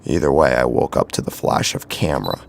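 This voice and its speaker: Very deep, gravelly male horror narrator. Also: brisk, slight background noise. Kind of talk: dramatic first-person horror narration.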